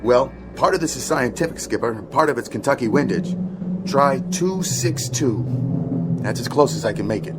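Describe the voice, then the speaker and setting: An actor playing a matter-of-fact, plain-spoken airliner navigator in a radio drama. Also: male voice, medium pitch, lively intonation, normal speed, heavy background noise, natural voice.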